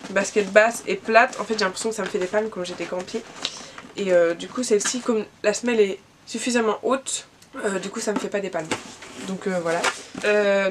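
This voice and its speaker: A woman's voice: medium pitch, noisy background. Chatty young woman with a cold. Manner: chatty, many fillers and restarts. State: has a cold. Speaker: vlogger. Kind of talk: casual gift haul vlog.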